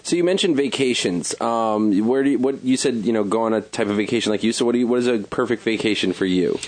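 Quick-talking low-voiced male co-host. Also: dry recording. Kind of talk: casual chat.

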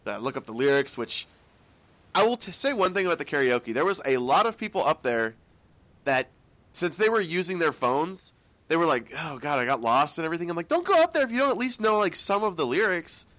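A young man tells a friend all about a fun weekend in a loose, rambling way, swearing as he goes. His pitch jumps around a lot and stays lively. The sound is dry and close. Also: a little fast, no room sound. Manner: excited, rambling, swears freely. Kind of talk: casual chat.

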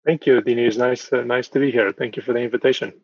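A middle-aged man from Portugal answering interview questions as a podcast guest, speaking haltingly and repeating words as he goes. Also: mid-range voice, steady tone, husky, dry recording. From northern Portugal. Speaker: podcast guest, town mayor. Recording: dull, muffled lo-fi audio.

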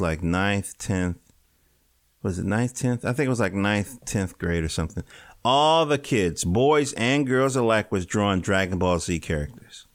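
A man's voice: fairly low pitch, no echo. A man hosting a casual interview from a small home studio, chatty and laid-back with a joking streak. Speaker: podcast host. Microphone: home studio mic.

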